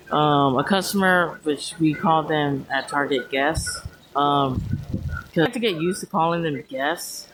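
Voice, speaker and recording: A woman's voice: low voice, poor audio. A woman with an American West Coast accent touched by Hawaiian island speech, chatting casually and meandering in a self-deprecating way to social media. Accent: American West Coast with Hawaiian island influence. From Hawaii, California and American Samoa, US. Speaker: vlogger.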